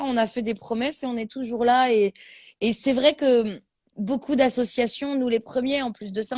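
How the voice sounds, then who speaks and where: A woman's voice: fairly high pitch, a little mellow, no echo. A woman in her thirties hosting a casual live stream from her phone, playful and teasing, often laughing.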